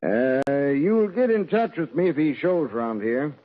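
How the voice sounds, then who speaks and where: Frontier sheriff, blunt and distrustful, pitch moving widely, muffled old radio recording. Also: male voice, very animated, measured pace, noisy background. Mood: gruff, suspicious. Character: blunt, suspicious, drawling Old West sheriff. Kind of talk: old-time radio western acting.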